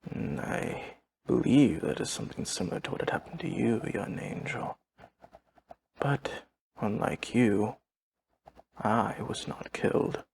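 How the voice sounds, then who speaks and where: A courteous old vampire servant speaks at an unhurried pace with a heavy fry in his low voice. His delivery is formal and drained of emotion, as if reporting to a guest in an audio roleplay. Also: a little hiss or hum. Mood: flat, reminiscing. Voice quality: gravelly, breathy husk. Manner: formal, measured, deadpan, no contractions. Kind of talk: audio roleplay acting, addressing the listener.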